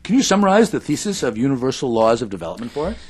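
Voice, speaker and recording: A pompous, intellectual radio man acted by a man in an audio drama. He is wordy and sarcastic in a middling-pitched voice, recorded close and dry. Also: very animated, racing, breathy edge, dry recording. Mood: formal hosting. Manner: verbose, sarcastic.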